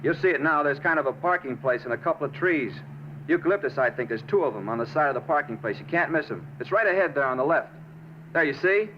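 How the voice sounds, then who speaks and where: An actor in a 1950s radio drama playing a moody, self-justifying murder suspect who rambles insistently and casually. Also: male voice, a little high, lively intonation, fast, close to an everyday voice. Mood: calm, eager. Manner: rambling, insistent, casual about his crime. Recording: muffled 1950s radio, faint noise.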